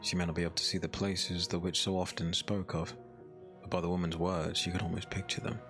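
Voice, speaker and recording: A man narrates a dark fantasy audiobook in a deep voice with gravelly fry. His delivery is measured, scripted storytelling, with faint music underneath. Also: level tone.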